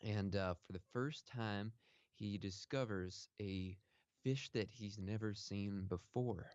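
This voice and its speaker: A man playing a proud author who rambles on a radio call-in, halting and oblivious, full of fillers. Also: fairly low pitch, a little mellow, smooth, dry recording, muffled recording. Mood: boastful. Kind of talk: improvised comedy sketch.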